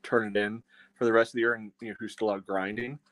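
A man's voice: fairly low pitch, little pitch movement, fast talker, a bit bright, dry recording. A man co-hosting a soccer fan podcast, chatting casually in a rambling way with many "you knows". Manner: rambling, peppered with "you know". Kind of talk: casual sports talk.

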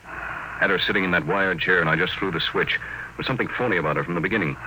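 A man acting a shrewd, cool, commanding boss in an old radio drama, brisk and probing. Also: low-pitched, bright, forceful tone. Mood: grim, brisk. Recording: faintly noisy old radio broadcast.

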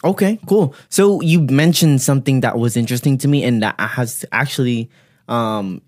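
A man's voice: mid-range voice, moderate pace, darkish tone. Casual podcast host man, says bro.

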